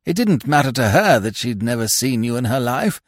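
A man's voice: medium pitch, sing-song, moderate pace, no echo, clean studio sound. A man voicing a fussy, absent-minded old lord in an audiobook, with a stammering, blurting way of talking. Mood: apologetic, affable.

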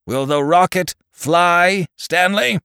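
A man voicing a menacing male figure who shouts booming demands over a radio from the sky in an audiobook story. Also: slow pace, smooth, dry recording, studio quality, heavily acted. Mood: menacing, demanding.

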